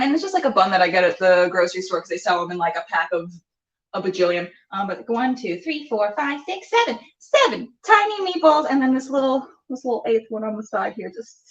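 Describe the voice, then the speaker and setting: A woman's voice: medium pitch, sing-song, moderate pace. A woman hosting a casual, chatty cooking show, rambling playfully and talking to herself as she goes. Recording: echoey room, distant mic, dull sound.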